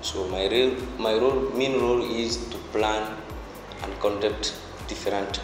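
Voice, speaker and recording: A man's voice: on the low side, fairly even intonation, unhurried. A young man from Guinea introducing himself as a student council secretary, hesitant and repeating words. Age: young adult. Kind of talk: self-introduction to camera. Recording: echoey hall, music behind.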